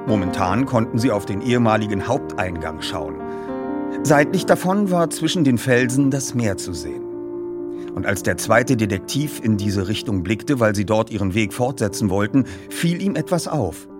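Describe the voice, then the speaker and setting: A man hosting a feature and reading a story aloud as an expressive narrator. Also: on the low side, moderate pace, over music. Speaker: host and narrator. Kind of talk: podcast introduction and audiobook reading.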